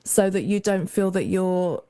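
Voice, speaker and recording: Young-sounding woman, warm and smooth. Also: fairly low pitch, unhurried. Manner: reflective, talks in long flowing thoughts. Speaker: guest, mentor to creative women. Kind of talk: casual conversational interview.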